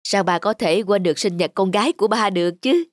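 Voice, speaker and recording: A woman playing a doting older father in a cartoon drama, affectionate and gently teasing. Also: mid-range voice, quick pace, dry recording, lightly acted. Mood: teasing, fond. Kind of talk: animated cartoon drama acting.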